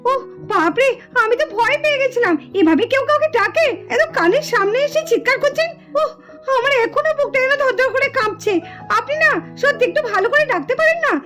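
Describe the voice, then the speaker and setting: A woman acts out an irritable young aunt from a doll cartoon in an extremely high-pitched voice. She scolds very quickly and dramatically, proud and easily rattled. Faint music plays behind her. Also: animated, fairly bright tone. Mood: indignant. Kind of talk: exaggerated Bengali doll-play cartoon acting.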